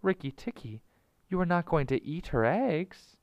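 A man's voice: a little high, sing-song, a little slow, no room sound, lo-fi sound, voice pushed up. A man voicing a flighty, adoring male tailorbird in a storybook, gushing and grandiose. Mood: eager, anxious. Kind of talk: storybook character voice.

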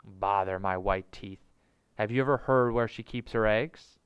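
A man voicing an impatient male mongoose hero in a storybook, brisk and commanding. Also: on the low side, clear, resonant tone, no echo, highs cut off, natural voice. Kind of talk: storybook character voice.

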